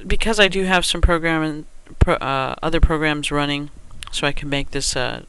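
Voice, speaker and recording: A man giving an unscripted step-by-step software tutorial, thinking aloud in a halting way as he works. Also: fairly high pitch, clean tone, poor audio.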